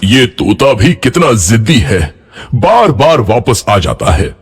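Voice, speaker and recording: A man voicing a calm middle-aged village father in a plain, steady way. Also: medium pitch, slow pace, husky, soft background music, full character voice.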